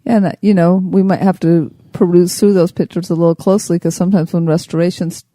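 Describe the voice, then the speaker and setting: A woman's voice: lowish voice, flat delivery, fairly quick, warm tone, no room sound. A woman co-hosting a podcast in playful, joking casual chat. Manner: playful, joking, laughs often. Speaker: podcast co-host.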